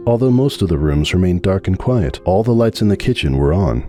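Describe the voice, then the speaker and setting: A man giving a dramatic reading of a fan story, narrating in a measured, brooding way. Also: sits low, lively intonation, warm tone, soft background music. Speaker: audiobook narrator.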